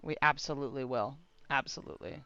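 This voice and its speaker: A woman game streamer giving casual live commentary, playful and expressive, swearing casually. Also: extremely low pitch, fast talker, clear, resonant tone, no echo, lo-fi sound. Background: video game music.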